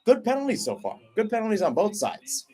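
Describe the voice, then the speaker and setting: A young man doing excited play-by-play commentary of a soccer penalty shootout, with his pitch and volume leaping at each kick. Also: brisk. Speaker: radio play-by-play announcer. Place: at home, not at the stadium.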